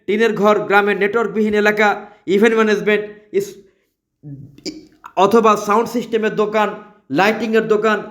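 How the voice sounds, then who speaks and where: A man with a Bangladeshi accent delivering an emphatic, repetitive promotional sales pitch as a product advertiser. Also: little pitch movement, fairly quick, long pauses, fairly bright tone. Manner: emphatic, repetitive, listing features.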